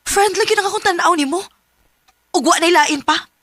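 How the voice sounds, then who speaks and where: A woman's voice: very high voice, normal speed, a bit bright, no room sound. An actress playing a young female student who turns down a classmate, speaking directly. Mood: firm. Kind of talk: amateur radio drama acting.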